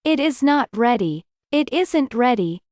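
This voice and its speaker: A woman reading scripted English lesson dialogue, slowly and clearly, in carefully spaced sentences. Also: a little high, sing-song, a little mellow, no room sound, clean studio sound.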